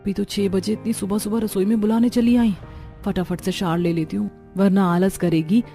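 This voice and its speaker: Woman playing a mellow-voiced chatty young bride. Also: mid-range voice, fairly even intonation, normal speed, soft background music, natural voice. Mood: grumbling. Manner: mixes English phrases into speech. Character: chatty young newlywed bride, polite but privately grumbling. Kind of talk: cartoon family drama voice acting.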